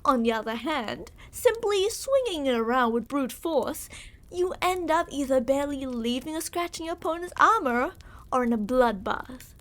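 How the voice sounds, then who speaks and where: A woman's voice: high voice, lively intonation, normal speed, fairly bright tone. A woman playing a smug, menacing yandere royal captor in an ASMR roleplay, speaking in a theatrical, condescending, mock-affectionate way. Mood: coolly menacing.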